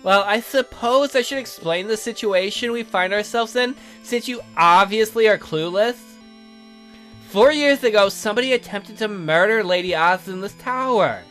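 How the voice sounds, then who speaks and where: Man voicing a haughty, high-voiced castle magician. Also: normal speed, fairly bright tone, soft background music. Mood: scornful explaining. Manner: haughty, scornful, expository. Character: condescending, sardonic male castle magician.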